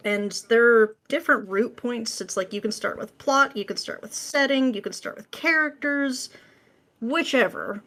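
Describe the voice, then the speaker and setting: A woman's voice: fairly high pitch, normal speed, a bit bright. A woman speaking as an interview guest, explaining things informally and often voicing her own thought process. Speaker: podcast guest, script writer. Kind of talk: casual interview chat.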